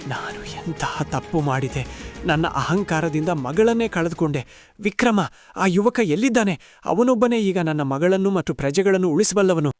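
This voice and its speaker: A man's voice: sits high, moderate pace, soft background music, close to an everyday voice. A man playing an imperious king in a cartoon, theatrical and dramatic. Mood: remorseful, then panicked. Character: arrogant king, later a distraught remorseful father.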